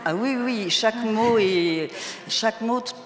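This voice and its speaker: A woman's voice: on the high side, unhurried. Woman's voice in a reverberant hall. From Moselle, eastern Lorraine, France. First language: Moselle Franconian German dialect. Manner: animated and emphatic, repeating words, many restarts. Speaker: novelist guest on a panel. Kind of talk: round-table discussion at a book fair. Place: public event hall. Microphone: table microphone. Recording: echoey hall with long reverb.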